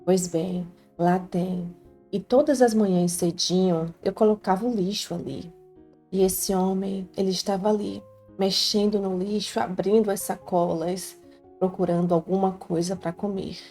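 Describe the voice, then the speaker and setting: A woman's voice: medium pitch, steady tone, unhurried, dark tone. A woman with a Brazilian Portuguese accent telling a life story in the first person, in an earnest, heartfelt, confiding tone. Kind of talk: first-person scripted narration. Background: faint background music.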